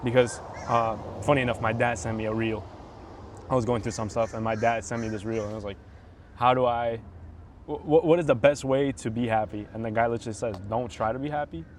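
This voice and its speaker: A talkative young man from Brazil, chatting as a podcast guest in a mid-pitched voice. His talk rambles and is full of filler words. A faint noise sits underneath. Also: steady tone, fairly quick. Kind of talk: casual chat.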